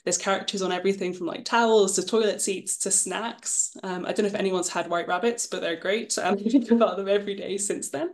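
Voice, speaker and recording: A woman's voice: medium pitch, fairly even intonation, fairly quick, few pauses. A woman in her twenties, from Scotland, casually presenting her path as an illustrator, chatty and self-deprecating, with frequent ums. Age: 20s. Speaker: guest illustrator.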